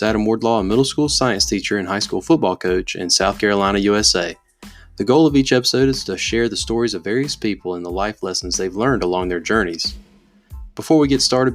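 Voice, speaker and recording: A man, the podcast's host, reading an upbeat scripted intro. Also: fairly low pitch.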